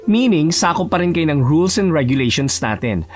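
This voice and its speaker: Male actor as gruff, composed executive, romance audio drama. Authoritative, lively inflection, code-switches into English, soft music bed. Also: fairly high pitch, normal speed. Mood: calm, strict. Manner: formal, authoritative, switches between Tagalog and English.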